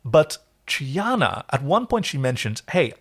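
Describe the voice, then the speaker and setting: A man's voice: mid-range voice, sing-song, a little slow, slightly rough. Male recap podcast host, mid-range voice, casual chat with an analytical, explanatory bent.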